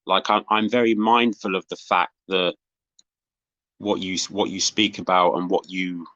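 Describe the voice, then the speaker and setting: Low-voiced thoughtful man, dry sound. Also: fairly even intonation, a little slow, fairly bright tone, dry recording, muffled recording. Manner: thoughtful, hesitant with fillers. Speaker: guest, hip hop artist. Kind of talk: casual interview answers. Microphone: video call.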